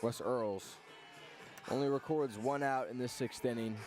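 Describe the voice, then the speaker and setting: A man's voice: mid-range voice, fairly even intonation, measured pace, darkish tone, faint music behind. A man calling live baseball play-by-play on the radio in clipped phrases, following the action as it unfolds.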